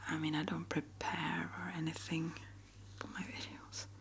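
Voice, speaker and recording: A woman speaking in a gentle, hesitant, rambling way, as an ASMR creator chatting to her viewers. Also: sits low, little pitch movement, moderate pace, gravelly. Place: small upstairs apartment.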